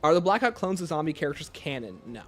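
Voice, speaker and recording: A man with a fairly high voice talks casually as a livestream host. His pitch moves around a lot as he fields questions in an offhand way, with faint music playing behind him. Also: big pitch swings, fast talker, fairly bright tone. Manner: reads questions aloud, then answers offhand. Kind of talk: casual livestream chat answering viewer questions.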